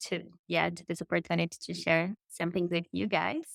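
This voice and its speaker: A woman's voice: on the low side, steady tone, a little fast, creaky, no echo, clean studio sound. A woman in her thirties from Brazil with a Brazilian Portuguese accent, a guest telling her story in an interview with enthusiasm and frequent restarts. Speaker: guest, marketing consultant.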